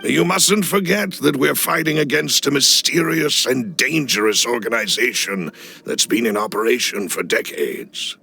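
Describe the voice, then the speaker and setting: A man playing a loyal, cautious butler in a video game cutscene, speaking in a formal, measured, deferential way with a gravelly voice. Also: mid-range voice, sharp tone, slight background noise. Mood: grave, cautioning. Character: loyal, cautious male butler of a crime boss family. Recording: clean studio voice over music. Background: background music.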